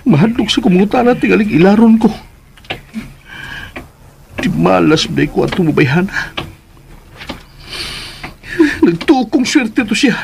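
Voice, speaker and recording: A man's voice: high voice, gravelly, full character voice. An actor playing a naive, anxious young provincial man in a radio drama, with a Visayan Philippine accent and a plaintive, pleading manner. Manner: plaintive, pleading, talks to himself. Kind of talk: radio drama acting in Cebuano. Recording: radio drama with background noise.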